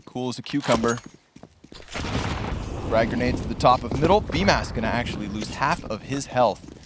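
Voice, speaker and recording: A man works as a play-by-play caster on a live esports broadcast. His pitch sits in the middle for a man. There is clear background noise under his commentary. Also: normal speed.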